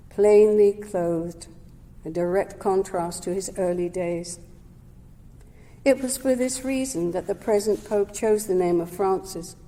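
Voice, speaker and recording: A woman giving a prepared talk at a Sunday service, measured and gentle, sometimes correcting herself mid-word. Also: mid-range voice. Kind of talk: prepared talk read aloud. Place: service hall. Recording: long hall reverb.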